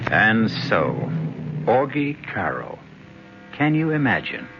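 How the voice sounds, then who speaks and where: Male radio host, theatrical, lively pitch, low voice, dull old radio sound with music. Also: takes it slowly, gravelly. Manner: musing, theatrical. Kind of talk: scripted narration.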